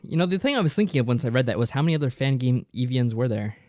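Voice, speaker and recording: A man's voice: mid-range voice, expressive, rapid-fire, a little mellow, no echo. A man co-hosting a podcast in casual chat, giving laid-back replies with dry humour. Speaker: podcast co-host.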